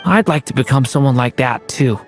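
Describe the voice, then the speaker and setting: An actor playing a gentle, earnest young boy in a video game, speaking softly and sincerely. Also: mid-range voice, a little fast, over music, natural voice. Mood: earnest, admiring. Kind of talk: voiced video game dialogue.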